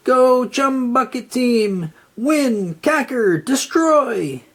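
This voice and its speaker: Someone reading as a tiny scheming cartoon bad guy, gloating, raspy and swoopy, storybook style. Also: high voice, lively intonation, very slow, voice pushed up, full character voice. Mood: triumphant shrieking. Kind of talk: children's storybook villain read-aloud.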